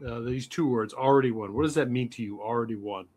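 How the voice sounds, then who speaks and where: A man's voice: mid-range voice, fast, a little mellow. A male podcast co-host with a mid-range voice who talks in a loose, rambling, conversational way. He drifts through his introduction with plenty of fillers. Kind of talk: casual podcast talk.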